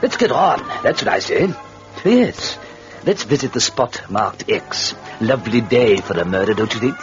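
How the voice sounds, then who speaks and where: An actor playing a chatty, gleefully morbid devil who hosts a radio horror tale with fussy, gloating asides. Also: male voice, mid-range voice, sing-song, normal speed, natural voice. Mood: gleeful, mocking. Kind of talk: old radio horror host acting. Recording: dull lo-fi radio, music behind.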